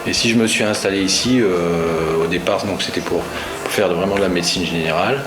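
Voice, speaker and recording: A middle-aged man from northern France, a doctor speaking in a composed, deliberate way. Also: low voice, noisy background. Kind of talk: documentary interview. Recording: echoey room.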